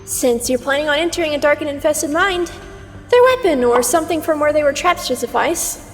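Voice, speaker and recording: A woman's voice: high voice, animated, brisk. A woman playing a condescending, teasing mentor, an immortal aspect of Twilight in a comic dub, lecturing in a theatrical, sardonic way. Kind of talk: scripted fan comic dub acting. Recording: long reverb over music.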